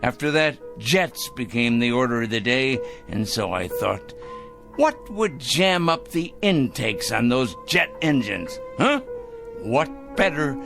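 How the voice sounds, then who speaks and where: An actor playing an eccentric, fanatical man obsessed with evil people, rambling and excitable in a vintage television drama. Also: medium pitch, slow, full character voice. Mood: musing, gleeful. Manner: rambling, excitable, chuckling, throat-clearing. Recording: old TV soundtrack with music underneath.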